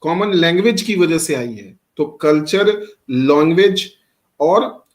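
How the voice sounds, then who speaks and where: A man's voice: a little high, lively intonation, takes it slowly. A middle-aged man from Bihar teaching a class, switching between Hindi and English, asking rhetorical questions and calling on students by name. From Bihar, India. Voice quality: speaks Hindi with much English mixed in, quizzes students by name. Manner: asks rhetorical questions and answers them himself. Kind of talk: classroom lecture.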